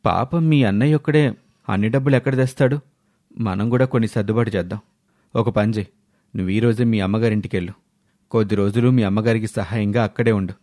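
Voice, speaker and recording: A man playing a kind, considerate, calm husband in a dramatised moral story. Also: lowish voice, quick pace, no room sound, clean studio sound, close to an everyday voice. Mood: sympathetic.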